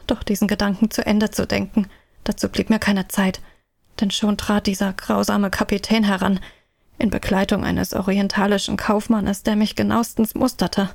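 A woman narrating an audio drama in first person, solemn and measured. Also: mid-range voice, flat delivery, vocal fry, no room sound, studio quality.

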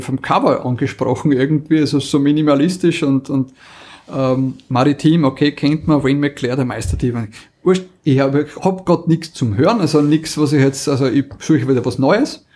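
A man's voice: mid-range voice, a little mellow. A man with an Austrian accent chatting casually as a podcast co-host, often reformulating as he goes.

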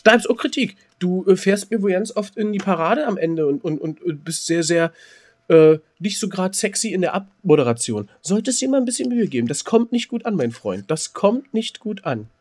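A man's voice: high-pitched, lively intonation, moderate pace, darkish tone. A man co-hosting a podcast in casual chat, with colloquial, teasing banter. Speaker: podcast co-host. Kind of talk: casual chat between two friends.

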